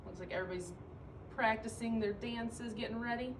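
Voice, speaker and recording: Female storybook reader, slightly high voice, teacherly and expressive, recorded off-mic with a little noise. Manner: expressive, measured phrasing, explains pictures simply. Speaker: teacher reading a picture book aloud to children. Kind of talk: read-aloud storytelling with simple asides. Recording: distant mic with faint background noise.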